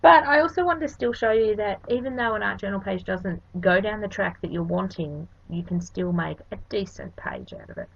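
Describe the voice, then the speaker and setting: Hoarse, sniffly Australian woman. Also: medium pitch, big pitch swings, normal speed, few pauses. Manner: chatty, self-deprecating, rambling. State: hoarse, sniffly from hay fever. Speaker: art channel host. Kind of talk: casual craft tutorial voice-over. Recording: dull lo-fi home recording.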